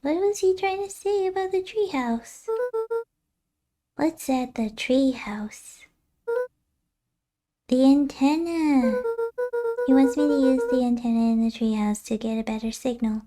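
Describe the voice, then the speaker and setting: An earnest young boy in a story video game, high in pitch and a little anxious. Music plays under the lines. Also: a little slow, long pauses. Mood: puzzled, excited.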